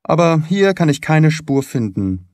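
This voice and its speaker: A man playing a shrewd male detective in an audiobook. He is precise, probing and self-assured, explaining his reasoning courteously. His melody is animated and the sound is dry and close. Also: a little high, a little slow, no echo, pitched above the performer's own voice. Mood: analytical, self-assured. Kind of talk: audiobook character dialogue.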